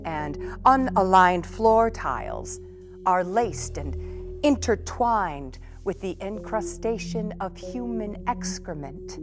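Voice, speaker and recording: A woman giving a deliberate, theatrical spoken-word recitation of dramatic writing. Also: mid-range voice. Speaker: performer reading writing aloud. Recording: music behind the voice.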